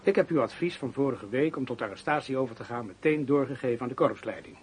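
Man playing careful police inspector, radio drama, average pitch, formal and measured, slight background noise. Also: lightly acted. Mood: reserved. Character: cautious, correct male police inspector. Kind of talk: radio play acting.

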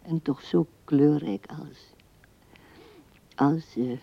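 An actress playing an old widow who muses tenderly and haltingly to her late husband in a radio drama, often dropping to a hush. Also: sits low, fairly even intonation, gravelly, breathy edge, full character voice. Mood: tender, wistful. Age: older. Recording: dull 1970s radio recording.

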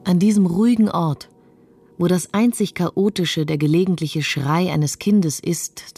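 A woman's voice: sits low, mellow, husky, no echo. A woman voicing a philosophical, reflective witness in a scripted documentary radio play.

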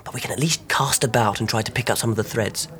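A young man playing an eager, determined newlywed amateur sleuth in a radio drama, with an animated and decisive manner. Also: mid-range voice, fast talker, natural voice. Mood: excited. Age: young adult.

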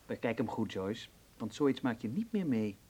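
An actor playing a teasing, theatrical man of the nightlife in a radio drama, playful and flowery, with a husky voice. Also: mid-range voice, sing-song, normal speed, mellow.

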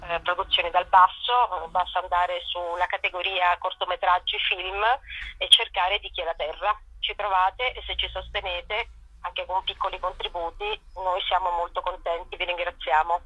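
Husky, bright-voiced woman in an interview. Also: fairly low pitch, level tone, moderate pace, muffled recording. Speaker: interview guest, stage actress. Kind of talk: spontaneous radio interview, promoting a stage show.

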